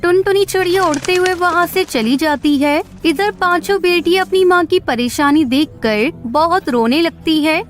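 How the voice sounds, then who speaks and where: A woman's voice: extremely high pitch, unhurried, hardly any pauses, soft background music. A woman narrator reading a scripted story for children.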